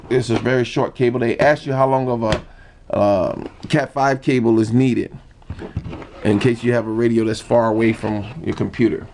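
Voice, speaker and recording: A man giving an informal how-to demonstration, explaining step by step in a halting manner. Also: mid-range voice, darkish tone, slight background noise. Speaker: hobbyist demonstrator. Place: indoor room.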